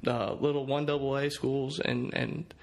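A young man in his twenties speaks into a studio boom mic, with a gravelly, creaky edge to his voice. He tells his stories in an easygoing way, often repeating words as he goes. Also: flat delivery, measured pace. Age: 20s. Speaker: guest, college quarterback. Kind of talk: casual interview storytelling. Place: podcast studio at night, indoors. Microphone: studio mic on boom arm.

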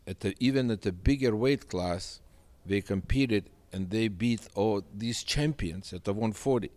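Deep-voiced man. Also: unhurried, darkish tone. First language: Russian. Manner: earnest, emphatic, repeats phrases. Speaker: boxing manager. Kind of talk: sit-down interview. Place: indoor studio set with sofa. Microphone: handheld mic.

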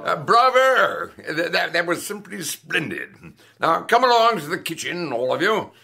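An actor playing a jovial older country squire, hearty and booming. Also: male voice, very animated, a little slow, gravelly, pushed and bright, faint music behind, exaggerated voice.

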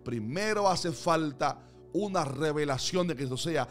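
Slow, emphatic male preacher over music. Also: fairly high pitch, expressive. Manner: emphatic, repetitive phrasing in building lists. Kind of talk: sermon, expository Bible preaching.

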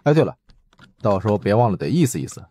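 Man acting husky, condescending middle-aged boss. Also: lowish voice, big pitch swings, fast talker, warm tone, dry recording. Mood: offhand. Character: gruff, dismissive middle-aged male department chief, chain-smoker.

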